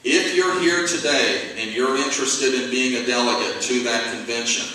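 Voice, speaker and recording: A man's voice: mid-range voice, normal speed, bright, forceful tone. A middle-aged man, chairing a party convention from the stage with folksy joking asides. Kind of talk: convention speech. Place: large hall with stage. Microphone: handheld mic through PA, camera far off. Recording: echoey hall from far off.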